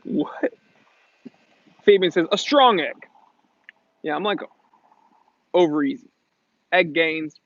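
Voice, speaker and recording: A man's voice: high voice, no room sound. An American man from New England hosting a casual livestream Q&A, chatting in a slangy, jokey, rambling way. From New England, USA. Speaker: livestream host. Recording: muffled lo-fi audio.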